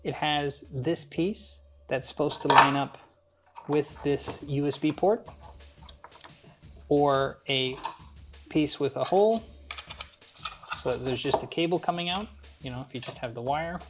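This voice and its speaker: A man's voice: on the high side, unhurried, long pauses, very noisy. A man hosting a hands-on build tutorial, talking informally through each step as he works. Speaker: host of a DIY build tutorial. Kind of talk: casual hands-on instructional narration.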